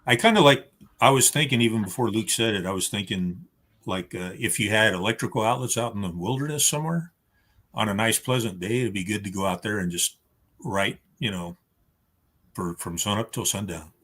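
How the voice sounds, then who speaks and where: Lowish-voiced man, rambling casually. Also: brisk, fairly bright tone. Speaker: panel guest, writer. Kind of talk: casual chat.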